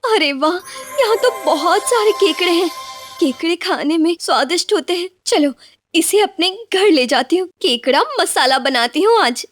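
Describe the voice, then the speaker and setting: A woman voicing a devoted, sensible young village wife in an animated moral story, with a caring, expressive and dramatic manner. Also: very high-pitched, moderate pace, dry recording, soft background music. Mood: surprised, chatty.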